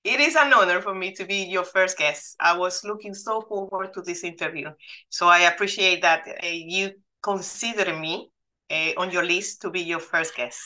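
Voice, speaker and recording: Female guest from the Dominican Republic, 40s, bright tone, earnest storyteller. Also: fairly low pitch, moderate pace, poor audio. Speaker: guest, life coach. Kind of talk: interview answers.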